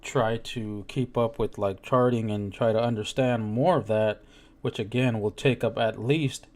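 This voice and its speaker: Lowish-voiced man chatting, swearing casually. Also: fairly even intonation, a little slow, darkish tone. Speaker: crypto live stream participant. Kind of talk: casual chat.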